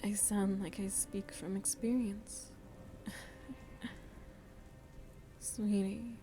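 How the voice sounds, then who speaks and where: A woman voicing a seductive, motherly vampire in an ASMR roleplay, soft and breathy, often whispering as she muses intimately to the listener. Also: mid-range voice, close to an everyday voice. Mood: coy, affectionate. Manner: slow, deliberate, musing, addresses listener tenderly. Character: seductive motherly female vampire, worldly, philosophical, gently teasing.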